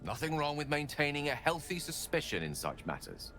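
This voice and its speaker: A man's voice: a little high, sing-song, fairly quick, fairly bright tone, faint music behind. A man playing a curious, courteous scholarly wizard in a video game, articulate, bookish and musing. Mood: intrigued, reasoning.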